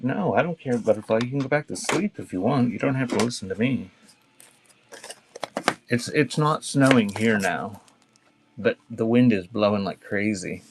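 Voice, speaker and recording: Low-voiced man selling casually on livestream. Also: lively intonation, moderate pace. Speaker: live-stream auction seller. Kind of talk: casual live selling chat, reading out cassette titles. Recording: clearly noisy background with clicks.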